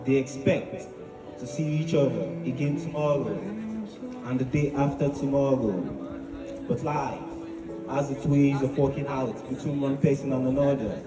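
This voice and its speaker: Male monologist, solemn declamatory oratory, measured phrasing; roomy, slightly distant recording over music. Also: medium pitch. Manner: solemn, deliberate, declamatory with pauses. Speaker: spoken word monologist. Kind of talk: poetic dramatic monologue, oratory. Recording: echoey, distant voice with music behind.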